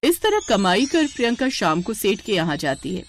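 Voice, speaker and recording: A woman's voice: medium pitch, very animated, moderate pace, slight background noise. A woman narrating a scripted moral story as a storyteller.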